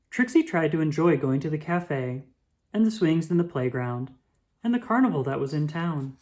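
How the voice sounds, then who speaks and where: A woman reading a children's picture book aloud with expressive, drawn-out emphasis. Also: low-pitched. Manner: animated, dramatic emphasis with drawn-out words.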